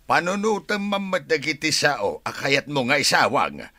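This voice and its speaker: An actor playing a married older father in an Ilocano radio drama, with a raspy voice and an Ilocano accent. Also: fairly high pitch, sing-song, normal speed, pushed and bright, no echo, studio quality, full character voice. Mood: exasperated, scolding. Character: married older father, forceful and easily exasperated.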